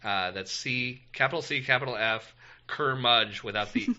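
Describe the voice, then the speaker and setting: A man's voice: mid-range voice, moderate pace, bright, forceful tone, slight background noise. A man co-hosting a casual podcast, rambling and self-deprecating, with lots of ums. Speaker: podcast co-host. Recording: muffled lo-fi podcast audio.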